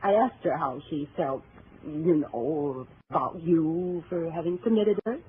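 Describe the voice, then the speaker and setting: A woman's voice: low voice, a little slow. An actress playing a chatty, nosy married neighbour in a vintage radio drama, gossipy and hesitant as she rambles. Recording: tinny old radio recording, faint hiss.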